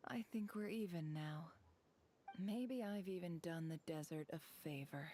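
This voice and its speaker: A woman voicing a ruthless, bitter desert tribeswoman in a video game, speaking in a cold, deliberate, contemptuous way. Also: on the low side, dark tone, slight background noise, close to an everyday voice. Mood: bitter, menacing. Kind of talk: dramatic video game voice acting.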